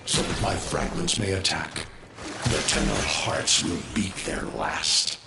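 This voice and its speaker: A man's voice: low-pitched, steady tone, slow pace, vocal fry, heavy background noise, heavily acted. A man playing a cold, vengeful shadowy assassin in a video game, speaking in an ominous, formal, theatrical way. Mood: cold command. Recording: loud music behind the voice. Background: loud game music.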